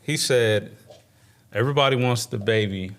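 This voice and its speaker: A man's voice: medium pitch, normal speed, clear, resonant tone. A man hosting a podcast interview, chatting casually and laughing along. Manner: relaxed, laughs along, affirming. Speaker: podcast host.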